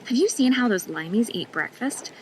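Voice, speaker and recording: A woman's voice: fairly high pitch, animated, normal speed, a little hiss or hum, lightly acted, rough recording. An actress playing a sarcastic, blunt young female nurse in an audio drama.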